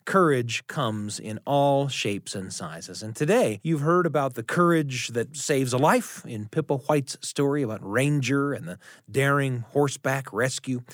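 A man hosting a radio storytelling show, narrating in a reflective, conversational way with deliberate phrasing. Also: medium pitch, dry recording, studio quality.

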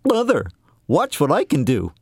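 A man's voice: a little high, huge pitch swings, moderate pace, slightly rough, no echo, studio quality, exaggerated voice. A man voicing a cheerful, eager young male frog in a told folktale. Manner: bright, eager, pleading.

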